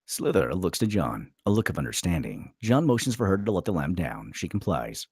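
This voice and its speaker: A man's voice: low-pitched, sing-song, fairly quick, darkish tone, breathy edge, no room sound, studio quality. A man reading screenplay stage directions aloud as a dramatic narrator at a table read.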